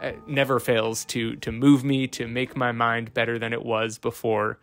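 A man's voice: mid-range voice, level tone, normal speed, a bit bright, faint music behind. A young man in his twenties hosting a casual book review vlog, talking earnestly with fillers and restarts into a close studio mic in a small home room. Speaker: book channel host. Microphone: boom-mounted studio mic close in front.

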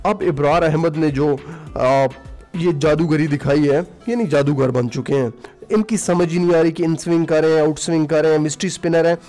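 A man's voice: fairly high pitch, normal speed, mellow. Man, faint music behind. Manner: casual, many "aa" fillers, mixes in English phrases. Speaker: cricket commentary vlogger. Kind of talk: solo cricket commentary over music. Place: voice-over, not recorded at the ground.

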